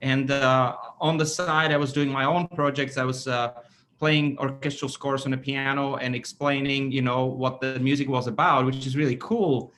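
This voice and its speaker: A man speaking as an orchestra conductor in an interview, halting and often stammering as he searches for words. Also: mid-range voice, fairly bright tone. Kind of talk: interview talk.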